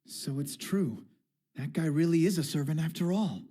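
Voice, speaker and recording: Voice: mid-range voice, normal speed, mellow, husky, lightly acted. A performer playing an earnest, tentative teenage boy in an anime dub, with plain-spoken delivery. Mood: doubtful, surprised. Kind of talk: anime-style dramatic dub acting.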